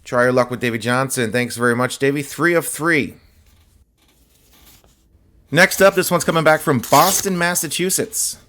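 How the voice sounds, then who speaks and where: A man with a slightly hoarse, scratchy voice, chatting casually as host while showing autographed sports cards. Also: medium pitch, normal speed, pauses often. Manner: relaxed, chatty, many fillers and asides. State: slightly hoarse, voice partly lost. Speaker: host of a card-collecting channel.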